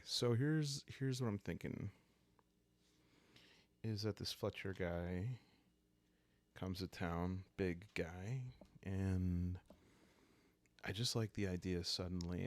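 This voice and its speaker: Male solo RPG host, ASMR storytelling: deep, unhurried, calm, musing, hesitant. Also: vocal fry, no room sound. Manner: hesitant, musing, thinking aloud. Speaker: solo roleplaying game host.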